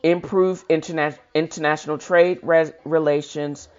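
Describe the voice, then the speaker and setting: Dull lo-fi recording of a halting reader. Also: mid-range voice, fairly even intonation, smooth. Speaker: host of a reading show. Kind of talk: reading a historical speech aloud with informal commentary. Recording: dull lo-fi sound.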